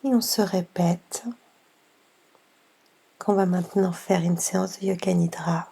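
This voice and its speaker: A woman leads a guided meditation very slowly, leaving long pauses between phrases. Her voice is soft and half-whispered, on the low side and mellow, with a lot of creak at the edges. She gives each instruction gently and without hurry. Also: fairly even intonation. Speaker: yoga nidra guide.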